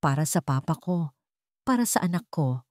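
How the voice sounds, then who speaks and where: A woman's voice: sits low, lively intonation, moderate pace, a little mellow, no room sound, clean studio sound. A woman voicing an anxious but determined young heroine, hesitant and earnest, in an audio story.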